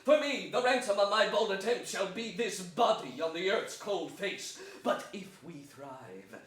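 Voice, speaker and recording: An actor playing a noble young warrior leader, declaiming a rousing Shakespearean battle oration in measured phrases. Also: male voice, sits high, expressive. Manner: measured, declamatory, swelling to shouts. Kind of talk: theatrical Shakespearean stage oration. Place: large theatre stage, indoors. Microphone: far camera. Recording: echoey theatre hall, distant mic.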